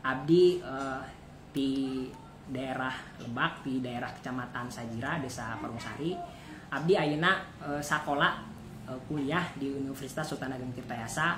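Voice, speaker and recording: An echoey, very noisy recording carries a young man from Banten, Indonesia, whose first language is Sundanese. He speaks at a middling pitch as an interview guest, giving halting introductions and explanations. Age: early 20s. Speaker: interview guest, student contestant. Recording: echoey room, loud background noise.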